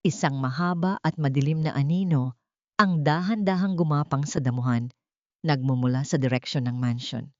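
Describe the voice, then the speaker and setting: A woman narrating a dramatic audiobook story in a measured, solemn manner. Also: deep voice, darkish tone, no room sound. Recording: dull, dry lo-fi recording.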